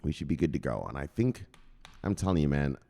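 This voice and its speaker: A man's voice: a bass voice, animated, fairly quick, creaky, warm tone. A man hosting a crypto news channel, ranting through the headlines in a profane and emphatic way.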